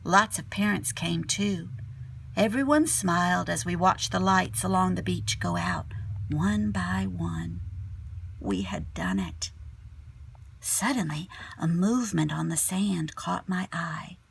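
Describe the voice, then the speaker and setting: A woman teacher reading a children's picture book aloud expressively, sometimes dropping to a hushed tone. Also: on the low side, takes it slowly, vocal fry, a little hiss or hum. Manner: animated storyteller, drops to hushed whispery tones.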